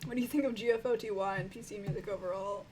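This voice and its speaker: A woman with a croaky voice, a music reviewer answering viewer questions in a rambling, casual way full of fillers. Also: mid-range voice, steady tone, fast talker, noisy background. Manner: rambling, filler-heavy, frank and swearing. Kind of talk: casual Q&A chat.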